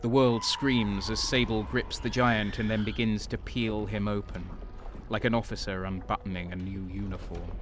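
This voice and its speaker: Low-voiced haunted soldier played by a man, intensely dramatic. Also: background noise, close to an everyday voice. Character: haunted, horror-struck traitor soldier narrating his own nightmare. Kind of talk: dramatic first-person audio drama narration.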